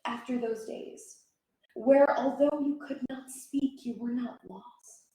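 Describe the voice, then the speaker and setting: A woman, an actor, giving a dramatic reading of poetry with measured, expressive phrasing. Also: on the high side, slight background noise. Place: indoor library room at a live event. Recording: echoey room.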